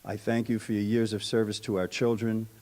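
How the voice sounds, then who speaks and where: Low-voiced man giving a tribute. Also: level tone, fairly quick, a little mellow. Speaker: school administrator giving a tribute. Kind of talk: prepared tribute speech with informal asides. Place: public school board meeting room.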